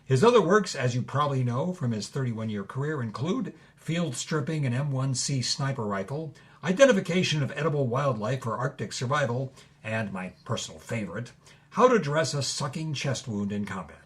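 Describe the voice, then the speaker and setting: A man acting as a film historian in a comic audio mockumentary. He speaks at a medium pitch, measured and informative, keeping a deadpan face on dry amusement. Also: lightly acted. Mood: dryly amused. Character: dryly amused male film expert recounting obscure movie history. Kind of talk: mock-documentary commentary.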